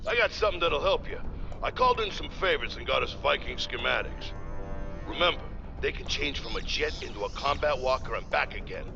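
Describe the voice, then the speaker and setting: An actor playing a brash, cocky blue-collar ship engineer in a video game, with a folksy, wisecracking manner. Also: male voice, medium pitch, animated, brisk, vocal fry, music in the background. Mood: cocky, upbeat. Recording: slightly dull game audio.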